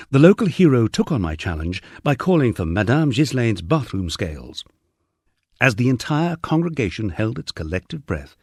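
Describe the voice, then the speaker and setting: Low-voiced, husky man reading an audiobook. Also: no room sound, clean studio sound. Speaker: audiobook reader. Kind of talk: scripted audiobook narration of a memoir.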